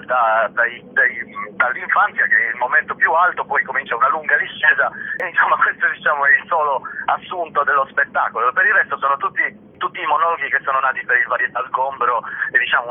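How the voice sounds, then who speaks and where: A man's voice: medium pitch, slightly rough, narrow, tinny audio. A man, actor and author, talking about his show on the radio in a self-deprecating way, often chuckling and restarting. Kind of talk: radio interview.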